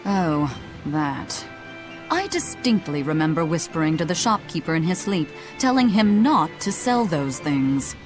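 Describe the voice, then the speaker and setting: Sly, low-voiced, teasing game sorceress. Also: female voice, very animated, unhurried, over music. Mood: dry, offended. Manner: teasing, haughty, drawling. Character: sly, wry, mysterious woman with an old-fashioned air. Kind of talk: English game voice acting.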